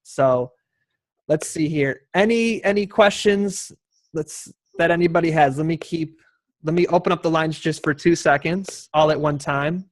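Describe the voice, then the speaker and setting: Male moderator, fairly high voice, casual and unscripted, running an online town hall. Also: unhurried.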